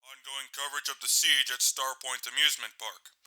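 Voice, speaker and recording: A man's voice: mid-range voice, little pitch movement, brisk, pushed and bright, low-quality recording. A man playing a serious, formal news anchor delivering scripted breaking coverage of a disaster. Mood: grave.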